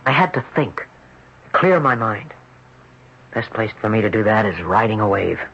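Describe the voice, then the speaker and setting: A man playing a troubled, weary young surfer who recounts his ordeal dramatically and haltingly in an old radio drama. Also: on the low side, animated, creaky, fairly bright tone, slightly rough, heavily acted. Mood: weary, brooding. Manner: dramatic, halting first-person storytelling. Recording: dull, very noisy vintage radio recording.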